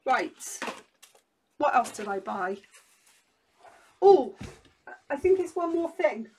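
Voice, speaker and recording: A middle-aged woman, hoarse from losing her voice, chatting casually and rambling through a craft haul at her desk at home. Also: sits high, sing-song, slow pace, darkish tone, slight background noise. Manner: rambling and chatty, many restarts. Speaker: crafting vlogger.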